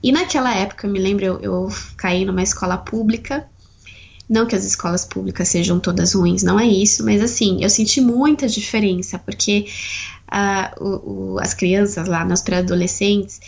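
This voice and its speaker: A woman's voice: medium pitch, moderate pace, vocal fry, darkish tone, muffled recording. A Brazilian woman co-hosting a podcast, sharing personal memories in a reflective, casual way. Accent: Brazilian Portuguese. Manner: reflective, personal storytelling, frequent "né". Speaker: podcast co-host. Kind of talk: casual chat.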